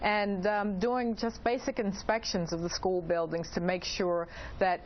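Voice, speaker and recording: A woman speaking as an expert guest in an interview, explaining in a hesitant way with frequent pauses. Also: medium pitch, steady tone. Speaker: expert guest, principal investigator. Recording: dull lo-fi audio, clearly noisy.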